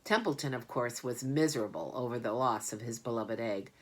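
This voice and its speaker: A woman with a low voice reads a children's storybook aloud. Her delivery is scripted narration with plenty of expression. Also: a little fast.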